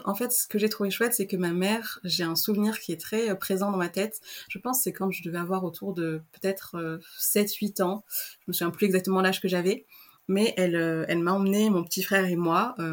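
Young woman guest, casual and hesitant, lots of fillers. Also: on the low side. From Madagascar. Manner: hesitant, many fillers and restarts. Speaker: podcast guest. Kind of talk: casual interview chat.

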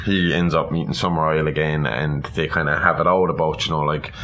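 A man's voice: very deep voice, steady tone, fairly quick, a bit bright. A man co-hosting a podcast, recapping a film story in an informal, casual way. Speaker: podcast co-host. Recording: clear background room noise.

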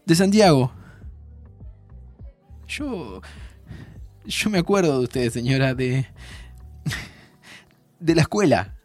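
A man's voice: mid-range voice, animated, slow, pauses often, darkish tone, soft background music. An actor playing a flustered, tender man in an audio drama, with an Argentine accent and a hesitant, stammering delivery. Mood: nervous, hesitant. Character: flustered, tender man visiting his own future. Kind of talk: naturalistic audio fiction acting.

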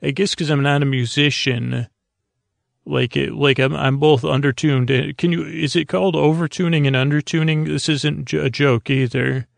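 A man hosting a bedtime podcast in a creaky voice, rambling hesitantly, often repeating words and trailing off. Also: fairly high pitch, fairly even intonation, normal speed, dry recording. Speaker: podcast host. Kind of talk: rambling bedtime monologue to help listeners sleep.

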